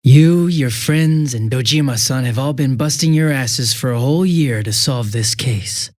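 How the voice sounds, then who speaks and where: An actor playing a smug, two-faced young police detective in a video game, casual and sarcastic with a taunting, cruel edge. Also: male voice, mid-range voice, unhurried, a little mellow, natural voice. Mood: sly, probing. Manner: casual, sarcastic, taunting, shifting from breezy to menacing. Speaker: police officer.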